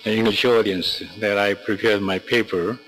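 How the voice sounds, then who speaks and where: Low-voiced man. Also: steady tone, a bit bright, faint music behind, poor audio. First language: Korean. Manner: halting, polite. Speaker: conference presenter.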